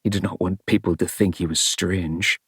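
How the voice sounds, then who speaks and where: A man reading a novel as an audiobook narrator, in a wry storytelling style with measured, dramatic emphasis. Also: low voice, steady tone, fairly bright tone, slightly rough, no echo, clean studio sound.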